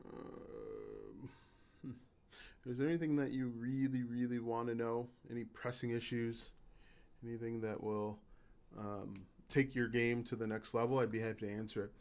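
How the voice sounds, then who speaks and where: A man hosting a casual live stream, with a raspy voice and an even-keeled, low-energy manner, halting with fillers. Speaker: YouTube channel host.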